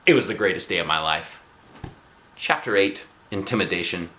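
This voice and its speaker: A man narrating a children's book aloud with an expressive, dramatic delivery. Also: low-pitched, moderate pace. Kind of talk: animated children's storybook reading. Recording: echoey room.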